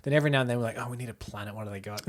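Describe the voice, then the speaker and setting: Quick-talking male co-host. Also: medium pitch. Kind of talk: casual film chat.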